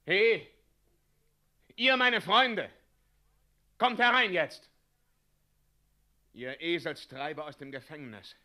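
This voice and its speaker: An actor playing a bitter, weary heroic knight in a classic German radio play, theatrical, moving between hushed and thundering delivery. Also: male voice, on the high side, slow, pauses often, exaggerated voice. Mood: commanding, bitter.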